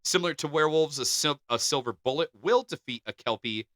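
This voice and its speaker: A man's voice: on the high side, brisk, no room sound, studio quality. A man co-hosting a podcast, reading folklore aloud and joking between the passages. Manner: animated, jokey. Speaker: podcast co-host.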